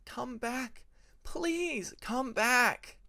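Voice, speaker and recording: A man's voice: very high-pitched, animated, extremely slow, bright, forceful tone, voice pushed up, heavily acted. A man voicing a young male dragon who is wistful, polite and hesitant, in a storybook narration. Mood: desperate pleading.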